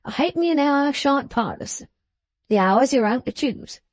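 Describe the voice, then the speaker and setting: A woman's voice: high voice, animated, normal speed, no room sound, pitched above the performer's own voice. A woman voicing a jovial, boastful older man who speaks in broad, ungrammatical English, eager and enthusiastic. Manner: broad, rough-grammared, enthusiastic.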